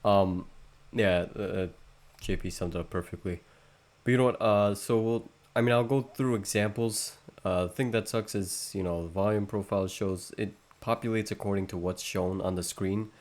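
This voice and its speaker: A young man with a low voice talks through charts on a live stream. His delivery is monotone and halting, full of restarts and fillers, in a casual lesson style. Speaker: trading stream host.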